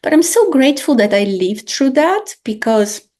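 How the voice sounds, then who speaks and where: A woman, hosting a podcast in a casual chat and telling stories in an expressive, animated way with frequent restarts. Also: sits high, normal speed. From Bulgaria. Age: 40s. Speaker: podcast host.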